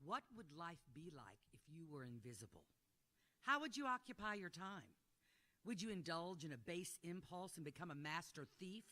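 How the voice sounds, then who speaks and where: A woman's voice: on the low side, very animated, moderate pace, bright, forceful tone. A woman presenter reading a scripted introduction to a show. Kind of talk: scripted narration.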